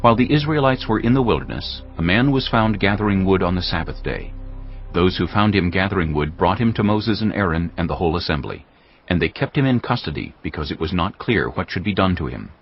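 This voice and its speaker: A man narrates scripture as audio drama. His voice is very deep, with lively swings in pitch. The recording is slightly dull, with faint ambience behind him. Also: a little fast, faint music behind.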